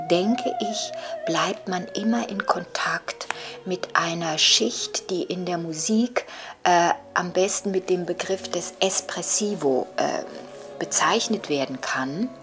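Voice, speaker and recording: An older woman speaks as an interviewed commentator, in a slightly low voice. She goes very slowly and deliberately, pausing as she searches for the exact scholarly term. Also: big pitch swings, over music. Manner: hesitant, deliberate, searching for precise words. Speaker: writer and commentator interviewed on Adorno. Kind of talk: reflective scholarly interview talk.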